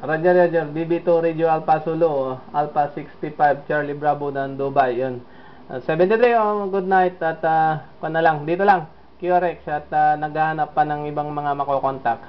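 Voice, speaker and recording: A man's voice: fairly high pitch, muffled recording, a little hiss or hum. A man from the Philippines talking with another amateur radio operator, speaking steadily and hesitating often. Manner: steady and unhurried, with frequent fillers. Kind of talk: casual ham radio contact.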